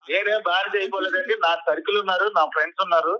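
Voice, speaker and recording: A man's voice: high-pitched, steady tone, rapid-fire, bright, forceful tone, no echo. A young man arguing defiantly and irritably as a caller over a phone line. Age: young adult. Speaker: caller, college student. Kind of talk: heated phone conversation.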